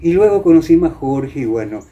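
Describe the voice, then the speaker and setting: A man's voice: on the high side, lively intonation, brisk, dark tone, highs cut off, a little hiss or hum. An Argentine man, middle-aged or older, explaining and reminiscing in an interview with hesitant phrasing and frequent tag questions. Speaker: interviewee, former radio actor.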